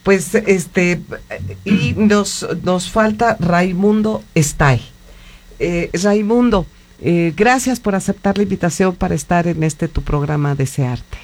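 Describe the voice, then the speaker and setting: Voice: mid-range voice, takes it slowly. A radio host with a Mexican accent, chatting loosely on air with many fillers and restarts. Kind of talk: live radio interview. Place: radio studio booth.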